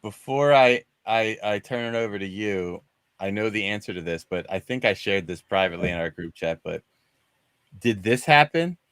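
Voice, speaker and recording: A man with a fairly low voice hosts a casual movie discussion, recorded dry and close. He rambles conversationally, often changing direction partway through a sentence. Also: normal speed, a bit bright, clear, resonant tone, no room sound. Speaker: host of a movie discussion show. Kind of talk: casual panel chat about films.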